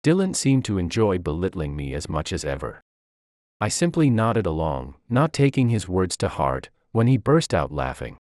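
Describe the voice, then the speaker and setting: A man's voice: low-pitched, big pitch swings, moderate pace, darkish tone, no echo, clean studio sound. A man reading a scripted first-person story as its narrator.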